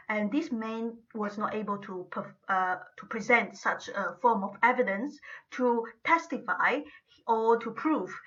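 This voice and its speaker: A woman's voice: medium pitch, sharp tone, lo-fi sound. A woman giving an academic lecture, explaining at length and often checking in with her listeners.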